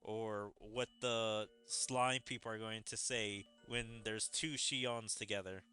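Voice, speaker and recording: A man's voice: on the low side, fairly even intonation, takes it slowly, bright, forceful tone, soft background music. A man giving casual game commentary as a streamer, musing and speculating as he goes. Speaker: gaming streamer.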